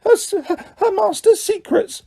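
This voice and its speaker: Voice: moderate pace, gravelly, dark tone. A reader voicing a tiny drunk female house elf who weeps and stammers through her words. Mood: tearful, slurring. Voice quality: squeaky, stammering with many uh's. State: tearful, drunk. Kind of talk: audiobook character voice.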